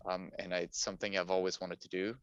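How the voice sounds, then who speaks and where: A man's voice: deep voice, little pitch movement, quick pace, clean tone. A middle-aged man from Paris, a native French speaker, giving casual, story-like answers as an interview guest. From Paris, France. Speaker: podcast guest, finance professional. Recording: dull, muffled lo-fi audio.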